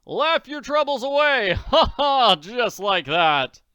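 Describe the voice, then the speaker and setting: Hearty booming tycoon as a man reads him, teasing, bright crisp tone, dry sound. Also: big pitch swings, unhurried, no room sound, dull audio, full character voice. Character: bluff wealthy middle-aged male tycoon.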